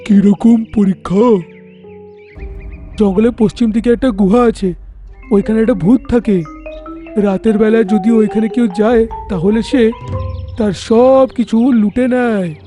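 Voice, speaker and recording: An earnest talking animal from a cartoon fable, acted by a man. The voice is very high yet mellow, with a declamatory delivery. Music plays faintly behind. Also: fairly even intonation, a little slow, long pauses. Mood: challenging. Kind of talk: cartoon dialogue acting.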